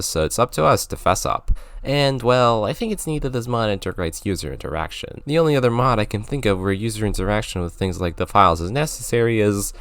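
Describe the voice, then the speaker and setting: A man's voice: medium pitch, no echo. A man narrating a scripted video game review, with sarcastic, joking asides.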